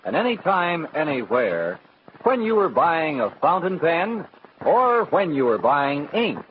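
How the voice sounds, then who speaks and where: Animated 1940s American radio announcer, higher-pitched man. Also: measured pace. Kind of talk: 1940s radio announcing, scripted sponsor and program narration. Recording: scratchy 1940s radio broadcast, narrow phone-like band.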